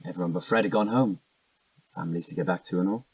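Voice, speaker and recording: An actor playing an evasive, defensive cheating husband in a naturalistic audio drama, protesting and stammering when cornered. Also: male voice, sits low, fast talker, husky, no room sound, far from the mic, poor audio.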